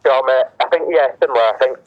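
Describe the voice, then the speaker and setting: A man's voice: mid-range voice, fast talker, no echo. A man with a British accent talking casually over a two-way radio as he directs traffic at road works. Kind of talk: casual work radio chatter. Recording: muffled, band-limited two-way radio transmission.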